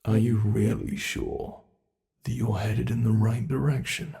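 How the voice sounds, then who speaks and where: A British man voicing a teasing, predatory male monster who holds the listener captive, speaking slowly and mockingly in an ASMR horror roleplay. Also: fairly low pitch, fairly even intonation, vocal fry, dark tone. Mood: taunting. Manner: slow, taunting, toying with the listener.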